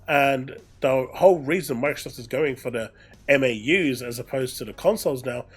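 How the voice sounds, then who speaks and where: A man's voice: mid-range voice, normal speed, husky. A man commenting casually on gaming business news, reading aloud and adding explanatory asides, often repeating phrases for emphasis.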